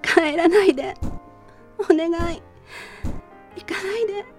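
A woman's voice: very high-pitched, slow pace, soft background music, voice pushed up, full character voice. A woman playing a lovelorn, proud woman who clings to her lover in an amateur romantic radio drama. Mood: pleading, tearful. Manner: wistful, putting on a brave front.

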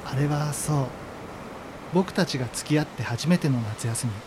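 An actor playing a reluctant young boyfriend in a radio drama, both in dialogue and in remembered monologue. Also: male voice, fairly high pitch, moderate pace, noisy background, natural voice. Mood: nostalgic. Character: reluctant young boyfriend, narrating his memory.

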